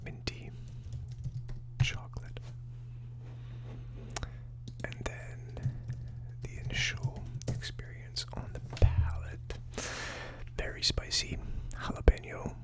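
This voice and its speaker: Whispering ASMR host, soft and breathy. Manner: slow, murmured word-by-word listing while typing notes. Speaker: whiskey tasting video host. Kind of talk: whispered ASMR, casual note-reading.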